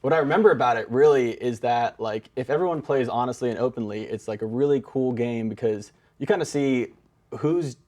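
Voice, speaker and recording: A man's voice: mid-range voice, brisk, a little mellow. Laid-out casual young American man, meandering. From Brazil-born, raised in the San Francisco Bay Area. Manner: informal, meandering. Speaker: guest singer-songwriter. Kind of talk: casual storytelling.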